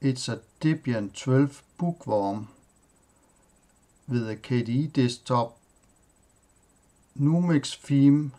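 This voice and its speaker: A man whose first language is Danish, hosting a tech tutorial and walking through his computer setup in a plain, halting way, listing items with pauses and fillers. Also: medium pitch, mellow. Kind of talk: screen-recorded software walkthrough.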